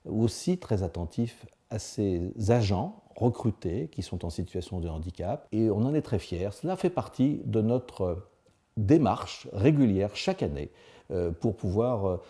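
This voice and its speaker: A man's voice: on the low side, mellow. A man speaking as a local official, answering in an interview.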